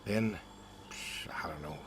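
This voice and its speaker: An actor playing a quiet, stubborn middle-aged farmer in a game, talking in a folksy, halting, understated way. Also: male voice, low voice, slight background noise. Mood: hurt, defensive. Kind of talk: naturalistic dramatic game dialogue.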